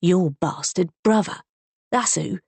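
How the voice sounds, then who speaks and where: A woman doing a mumbling, surly rough husband. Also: on the low side, takes it slowly, a little mellow, no room sound, heavily acted. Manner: mumbling, gruff, savage. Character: surly injured rough male drunkard.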